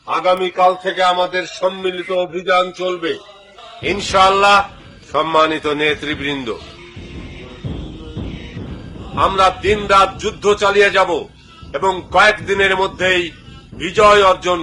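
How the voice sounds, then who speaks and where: A man's voice: high-pitched, steady tone, measured pace, sharp tone, soft background music. A man voicing a resolute, devout sultan in a dubbed cartoon, addressing his army in formal, declamatory oratory. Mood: confident, rallying. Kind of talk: dubbed cartoon speech to troops.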